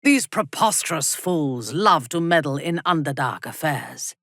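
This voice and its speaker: Ruthless female dark elf warrior, haughty, deep-voiced, unhurried and deliberate, swooping intonation, video game character. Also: animated, dry recording, studio quality, heavily acted. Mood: scornful, amused. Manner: haughty, commanding, measured, deliberate.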